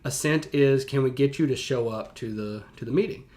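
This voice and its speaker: A man's voice: medium pitch, a little fast, darkish tone. A man with a mid-range voice reacts and explains in the way of a teacher. He talks casually and conversationally, leaning on key words for emphasis.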